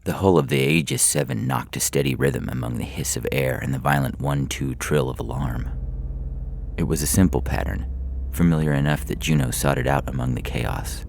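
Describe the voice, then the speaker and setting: Very deep, gravelly male story narrator. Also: lively intonation, normal speed, darkish tone. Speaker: narrator reading science fiction stories. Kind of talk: dramatic scripted audiobook narration. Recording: scary music behind the voice. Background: ominous music.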